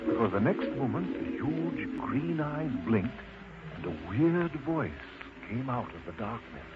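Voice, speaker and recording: A man narrating a scripted children's story in an expressive, dramatic way. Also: fairly high pitch. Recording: muffled lo-fi audio with clear noise.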